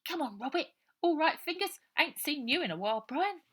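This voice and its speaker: Storybook orphan girl played by a woman: scrawny, bossy, higher-pitched, urgent and streetwise. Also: expressive, fairly quick. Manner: urgent, streetwise, nonstandard grammar.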